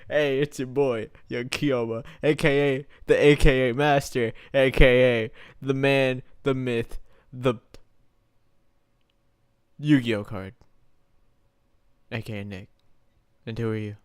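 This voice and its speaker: A man's voice: mid-range voice, fairly even intonation, slow pace, pauses often, clear, resonant tone. A young man co-hosting a casual comedy podcast, laid-back and teasing, cursing freely. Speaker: podcast co-host.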